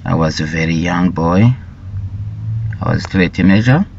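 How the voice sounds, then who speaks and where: A middle-aged man from Rwanda telling his life story in a deliberate, halting way, often repeating words. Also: deep voice, vocal fry, darkish tone, slight background noise. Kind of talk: slow personal storytelling. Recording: dull lo-fi recording.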